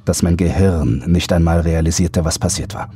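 A man's voice: sits low, moderate pace, dark tone, slight background noise. A man narrating a horror audiobook in a scripted, dramatic first-person storytelling style.

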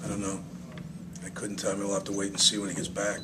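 A man's voice: lowish voice, completely flat delivery. Older guy coach at a postgame presser, stops and restarts a lot, room noise behind. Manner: halting, restarts often, subdued. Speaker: head coach. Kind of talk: postgame press conference answers. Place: small press room with sponsor backdrop. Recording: noisy room ambience.

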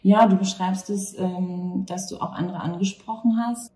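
A woman in her thirties interviewing conversationally, pausing and searching for words as she builds long questions. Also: medium pitch, fairly even intonation, mellow. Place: small cafe or bar table at night. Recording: very echoey, distant, noisy room ambience.

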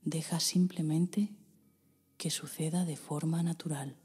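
A woman with a Spain Spanish accent, guiding a meditation in a slow, soft voice that often drops into a whisper. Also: low-pitched, fairly even intonation, in a large hall, faint music behind. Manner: slow, soft, breathy delivery with whispered passages. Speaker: meditation guide. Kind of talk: guided meditation.

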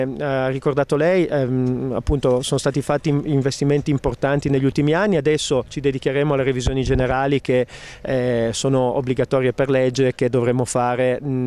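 A middle-aged man, a ski resort company president, answering questions outdoors on a snowy slope into a handheld mic, measured and hesitant with many filler pauses. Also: mid-range voice, darkish tone, clean tone. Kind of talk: outdoor TV interview. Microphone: handheld mic held by interviewer.

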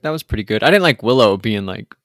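A man co-hosting a podcast, recapping a TV episode in casual, animated chat with mocking asides. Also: fairly low pitch, fast talker, dry recording, clean studio sound. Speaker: podcast co-host.

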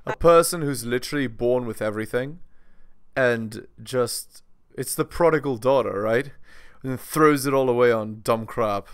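A man's voice: medium pitch, moderate pace, clean tone. A man reacting to a clip with scornful, mocking commentary. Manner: scornful, dry, mocking asides. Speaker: reaction channel host.

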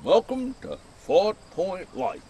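Gruff folksy old lighthouse keeper, takes his time, voice swings up and down, crickets and noise behind. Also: sits high, wildly swooping pitch, takes it slowly, dark tone, full character voice. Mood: folksy, relaxed. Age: older. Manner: folksy, gruff, drawling, chuckling. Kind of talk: audio drama acting.

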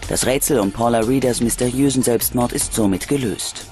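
A man narrating a scripted true-crime documentary in a dramatic, measured storytelling way. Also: mid-range voice, darkish tone. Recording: music behind the voice. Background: background music.

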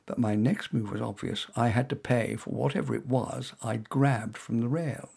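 A man reading his own short story aloud as first-person narration in a wry, expressive manner. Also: mid-range voice, normal speed, gravelly, breathy edge.

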